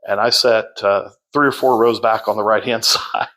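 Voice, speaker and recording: Unhurried low-voiced man with a projecting voice. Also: fairly even intonation. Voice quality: loud, projecting voice. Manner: unhurried, with many fillers and restarts. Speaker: podcast guest. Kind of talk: casual storytelling.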